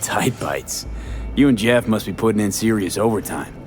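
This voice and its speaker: An actor playing a laconic, street-smart mercenary investigator in a cyberpunk video game, asking clipped questions. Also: male voice, lowish voice, expressive, normal speed, close to an everyday voice. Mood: casual, dry. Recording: music behind.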